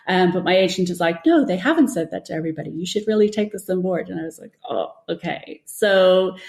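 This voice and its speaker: A woman's voice: on the low side, darkish tone. A woman answering as an interview guest, conversational and self-deprecating, telling anecdotes and acting out what people said. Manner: self-deprecating, anecdotal, acts out reported remarks. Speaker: guest author and former TV producer. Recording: roomy, echoey sound.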